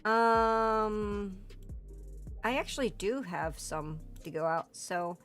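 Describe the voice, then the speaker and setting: Hoarse, rambling woman streamer. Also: medium pitch, takes it slowly, a bit bright. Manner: rambling, filler-heavy and profane, breaks into laughter. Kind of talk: casual livestream chat. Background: faint background music.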